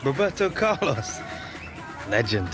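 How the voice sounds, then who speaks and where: An actor playing a young British professional footballer, keen and easygoing, in a football video game story. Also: male voice, medium pitch, sing-song, a little slow, music in the background. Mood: relaxed, enthusiastic. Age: young adult.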